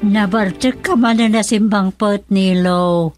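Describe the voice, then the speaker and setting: A woman's voice: medium pitch, fairly even intonation, measured pace, music in the background, natural voice. A woman acting a fretful, scolding Ilocano mother and grandmother in a radio drama, with an Ilocano accent.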